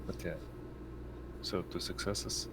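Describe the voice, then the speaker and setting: A man's voice: slow pace, heavy background noise. A man playing a tabletop roleplaying game, chatting casually at the table.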